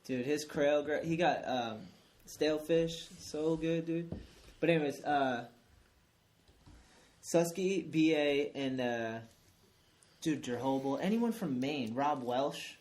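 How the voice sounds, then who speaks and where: A man's voice: on the high side, slow pace, pauses often, darkish tone. Enthusiastic cursing skater guy. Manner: enthusiastic, swears freely. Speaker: professional skateboarder. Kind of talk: casual chat.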